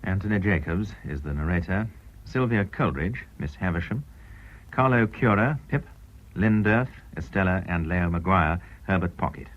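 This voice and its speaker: A man's voice: low-pitched, animated, normal speed. A British man announcing a scripted radio programme trailer in a formal, dramatic broadcast manner. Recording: dull old radio broadcast recording.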